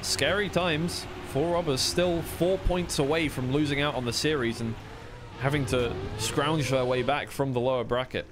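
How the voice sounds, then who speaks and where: A man's voice: mid-range voice, fairly even intonation, normal speed, noisy background. A man giving live play-by-play commentary as an esports caster on a racing game match.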